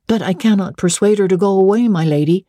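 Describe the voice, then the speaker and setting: A flustered young manservant from a classic novel, as read by a woman, pitched up and earnest. He reports to his betters with plain-spoken, servile insistence. The sound is close and dry. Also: fast, dark tone, dry recording, close to an everyday voice. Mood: flustered, insistent. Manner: earnest, servile, rustic turns of phrase.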